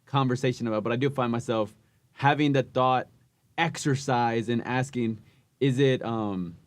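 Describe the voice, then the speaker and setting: A man hosting a podcast, talking in a halting way with many restarts as he searches for words. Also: mid-range voice, dry recording. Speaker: podcast host. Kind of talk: casual podcast interview.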